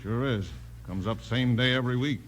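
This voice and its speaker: A man playing a rough, plain-spoken Old West railroad man with folksy Western dialect in a 1950s radio western. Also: medium pitch, moderate pace, natural voice. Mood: indignant, puzzled. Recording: slightly noisy old radio recording.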